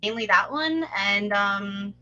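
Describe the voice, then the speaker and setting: Bright-voiced woman in casual group chat. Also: medium pitch, expressive, slow pace, lo-fi sound. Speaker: participant helping run an online script table read.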